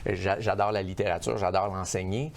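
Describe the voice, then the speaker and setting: A man's voice: low voice, fairly even intonation, normal speed, gravelly, slight background noise. A man answering as an election candidate in an interview, reflective and stumbling at the start of his sentences.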